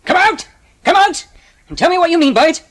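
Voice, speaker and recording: An actor playing a proud, prickly English railway porter with a working-class accent and a blustering, plain-spoken manner, in a dramatised audiobook. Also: male voice, very high voice, expressive, a little fast, a bit bright. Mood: indignant.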